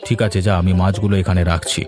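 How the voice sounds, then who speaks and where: A man acts a brisk village brother who works in the fish trade in a cartoon. His voice is slightly low and very quick, over faint music. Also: fairly even intonation, lightly acted. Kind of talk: cartoon character dialogue.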